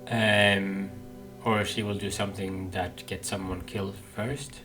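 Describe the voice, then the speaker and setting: A man hosts a game playthrough in a fairly low voice. He muses casually and hesitantly, thinking aloud, while game music plays clearly behind him. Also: level tone, measured pace. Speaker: gaming video host.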